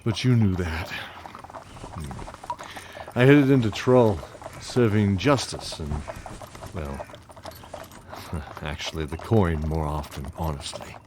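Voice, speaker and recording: An actor playing a weary, wistful old knight who tells his tale in measured, theatrical fantasy audio drama. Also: sits low, lively intonation, noisy background. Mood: fond, rueful. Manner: measured, theatrical, chuckling reminiscence. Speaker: narrator and lead character. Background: music under the voice.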